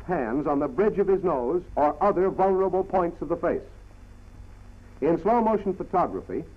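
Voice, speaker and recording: Male narrator, American, high-set voice, formal 1940s military instructional read, dull old film sound with music behind. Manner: formal, clipped, instructional delivery.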